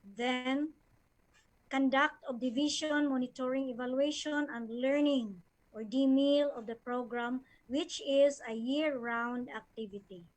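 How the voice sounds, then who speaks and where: A woman with a Filipino accent giving a formal, measured presentation read from slides. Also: high voice, rough recording. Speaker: education official presenting a plan.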